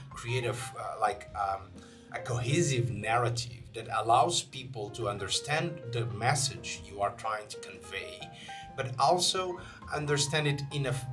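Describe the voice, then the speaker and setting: A man's voice: medium pitch, fairly bright tone. A young man from Portugal with a Portuguese accent, talking casually to camera in English with many fillers and restarts. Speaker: channel host. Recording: faint guitar music behind. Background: faint guitar music.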